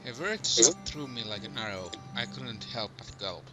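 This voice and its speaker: A man's voice: medium pitch, expressive, moderate pace, sharp tone, faint music behind, rough recording. A man playing a wary young hero who narrates his thoughts about demons in an amateur game fandub. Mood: wary, unnerved.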